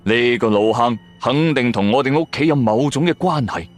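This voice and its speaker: A man's voice: medium pitch, moderate pace, soft background music. A man with a Cantonese accent narrating a radio drama in the first person, with colloquial, theatrical delivery.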